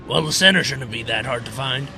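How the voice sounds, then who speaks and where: A man's voice: mid-range voice, lively intonation, a little fast, sharp tone, a little hiss or hum. A man playing a stern, commanding American army captain in an amateur World War II radio drama, speaking in dry, clipped orders.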